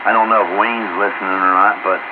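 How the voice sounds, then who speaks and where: A man's voice: on the low side, little pitch movement, fast. A man chatting casually over amateur radio in a folksy, easygoing manner. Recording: muffled radio transmission, heavy static.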